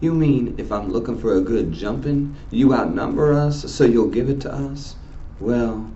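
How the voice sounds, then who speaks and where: A man's voice: medium pitch, animated, moderate pace, warm tone, dull audio, a little hiss or hum, pitched above the performer's own voice, natural voice. A man voicing a cocky, wisecracking teenage greaser boy from a novel, with a taunting, slangy delivery.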